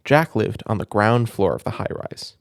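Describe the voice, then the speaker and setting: Gentle man with lively pitch and gravelly edge. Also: normal speed, no room sound, studio quality. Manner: gentle, doting, playful. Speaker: audio roleplay performer playing a caring big brother. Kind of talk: soft ASMR roleplay, reading a children's book aloud. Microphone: close microphone, dry room.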